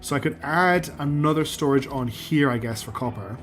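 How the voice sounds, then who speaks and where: A man streaming a factory-building game, losing his voice and clearing his throat, talking himself through each step and answering chat. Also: medium pitch, moderate pace, faint music behind. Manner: talks himself through building steps, counts out loud, asks himself questions; answers chat messages as he plays. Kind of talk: live gameplay commentary, thinking aloud.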